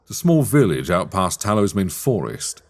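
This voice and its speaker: A man acting a sly, teasing master of a magic academy in a dark fantasy ASMR roleplay, speaking in measured, wry, formal phrases. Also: sits low, very animated, full character voice. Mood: probing, skeptical.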